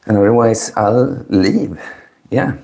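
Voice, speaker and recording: Slow, low-voiced man chatting on stream. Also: animated, vocal fry. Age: early 30s. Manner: chill, mellow, low-key. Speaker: livestream host. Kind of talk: casual chat with live stream viewers.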